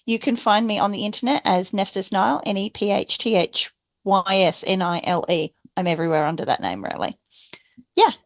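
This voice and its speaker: Female gamer, animated intonation, playful dry teasing, casual talk, close clean room sound. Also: mid-range voice, fairly quick, no echo. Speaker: tabletop game player. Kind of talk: casual chat.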